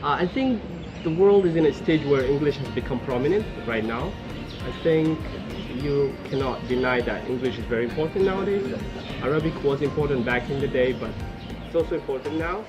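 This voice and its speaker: A man's voice: medium pitch, brisk. Somali-born man, confident interviewee, music bed. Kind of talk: unscripted interview answers.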